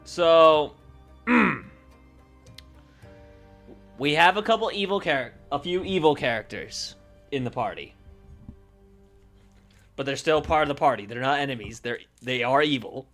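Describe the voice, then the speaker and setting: A man livestreaming casually, thinking aloud in a halting way and often trailing off mid-thought. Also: mid-range voice, fairly bright tone. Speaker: streamer.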